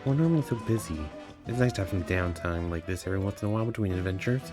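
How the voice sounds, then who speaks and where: A man's voice: low-pitched, lively intonation, very fast. A man streaming a game, giving casual commentary and reading dialogue aloud. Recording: game music behind the voice.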